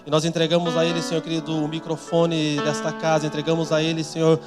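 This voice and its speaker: A man's voice: on the high side, completely flat delivery, quick pace. A man leading a church service, introducing the preacher and praying earnestly over the congregation. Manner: earnest, rising to fervent in prayer. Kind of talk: fervent congregational prayer. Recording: church music playing behind.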